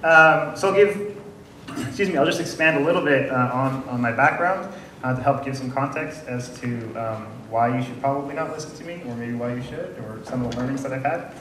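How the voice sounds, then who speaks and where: A friendly man in his thirties gives a relaxed conference talk, filling pauses with ums and questions to the audience. He is heard from a distance in a large room with a noisy echo. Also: mid-range voice. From Canada. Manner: friendly, chatty, many ums and uhs, asks the audience questions. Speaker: conference speaker. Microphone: room mic from far off. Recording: echoey room audio from far off, noisy.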